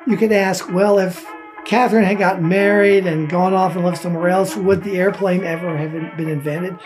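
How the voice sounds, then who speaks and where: A woman's voice: on the low side, steady tone, moderate pace, music in the background, low-quality recording. A woman guest commentator thinking aloud in speculative, conversational remarks.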